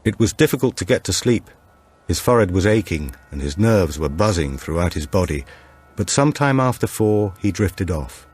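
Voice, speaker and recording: A male audiobook narrator with a notably deep voice. He reads scripted suspense fiction as a storyteller. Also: animated, normal speed, darkish tone, breathy edge.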